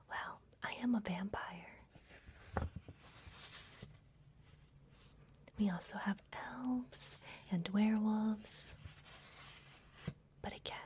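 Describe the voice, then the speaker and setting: A woman playing a secretive, soothing vampire librarian in a fantasy ASMR roleplay, speaking in a soft, breathy whisper close to the microphone. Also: medium pitch, lightly acted. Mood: secretive, welcoming. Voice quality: breathy, mostly unvoiced whisper. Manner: soft, gentle, unhurried, confiding.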